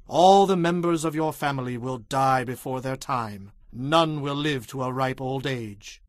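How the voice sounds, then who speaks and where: An actor playing a stern, solemn prophet declaiming divine judgment in a dramatized audio Bible. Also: male voice, a little high, dry recording, studio quality, natural voice.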